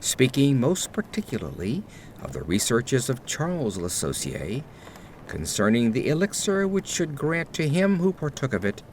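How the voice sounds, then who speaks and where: A man acts as a haunted aristocrat telling his gothic horror tale in an audio drama. His delivery is grave, formal and theatrical, with a very animated rise and fall. Faint noise and an ambient bed sit behind the voice. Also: mid-range voice, normal speed, close to an everyday voice. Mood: ominous, intense. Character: haunted aristocratic man recounting terror in grave, ornate words.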